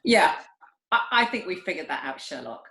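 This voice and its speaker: A woman's voice: fairly low pitch, very animated, normal speed, pushed and bright. A woman playing a nervy, defensive male stage actor who is easily scared, in a comic play reading. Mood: pedantic, protesting. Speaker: amateur actor in a remote group reading. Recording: roomy home internet call.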